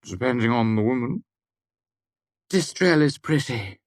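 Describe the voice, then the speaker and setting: A man voicing an excitable young male student in an audiobook, animated and given to exclaiming. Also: medium pitch, unhurried, dry recording, studio quality, voice pushed up, natural voice. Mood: curious, wistful.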